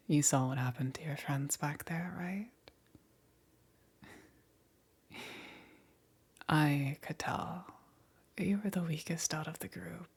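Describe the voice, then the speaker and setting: Woman acting a slow, breathy whispering demon queen. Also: low-pitched, darkish tone, full character voice. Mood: condescending menace. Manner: slow, taunting, drawn-out condescending delivery. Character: sadistic, teasing demon queen toying with cornered prey. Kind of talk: ASMR roleplay, whispered.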